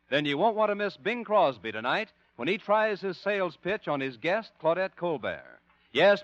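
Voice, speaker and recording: A man's voice: a little high, dry recording. Upbeat 1950s radio announcer man. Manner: smooth, promotional. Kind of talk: scripted announcing. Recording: muffled 1950 broadcast with music.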